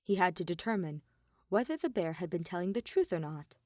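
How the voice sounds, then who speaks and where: A woman reading dramatic fan fiction narration in a deliberate way, pausing between phrases. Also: lowish voice, expressive, warm tone, no echo, clean studio sound. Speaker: podfic reader.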